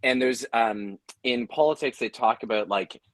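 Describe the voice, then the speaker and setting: A man's voice: mid-range voice, moderate pace, dry recording. A man speaking conversationally on a panel, analytical, often restarting words as he works through an idea. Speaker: panelist, audio drama creator.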